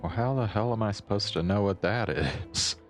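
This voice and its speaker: A man's voice: on the low side, normal speed. A man doing casual, wry game commentary as a streamer, muttering asides and reading dialogue aloud over game music.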